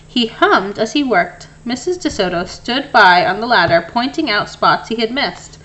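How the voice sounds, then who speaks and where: A woman narrates a children's story as a read-aloud. Her intonation is very lively, rising and falling widely through the sentences. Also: on the high side, normal speed, low-quality recording. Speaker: storybook reader.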